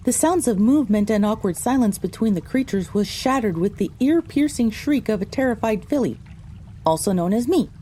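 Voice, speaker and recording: A mellow, fairly high female storyteller , acted by a woman, narrates as a young pony in an audio drama. She recounts a frightening misadventure in the first person, with faint trickling water behind her. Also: expressive, moderate pace, a little hiss or hum, natural voice. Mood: dramatic recounting.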